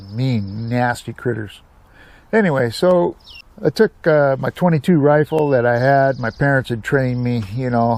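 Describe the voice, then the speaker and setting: An American man hosts a storytelling channel in a casual, homespun way. His voice sits at an average pitch for a man. He rambles along in plain, colloquial speech, like someone sharing personal memories. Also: creaky, a little mellow. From United States, Seattle area. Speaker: storyteller, YouTube channel host.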